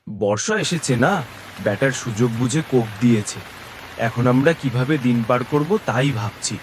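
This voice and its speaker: A man acts a weary, poor husband in an animated village tale. He grumbles at a middling pitch, sounding fed up and put-upon. Light rain noise sits steadily behind the voice. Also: normal speed, lightly acted. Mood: grumbling. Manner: grumbling, complaining. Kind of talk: animated cartoon story acting.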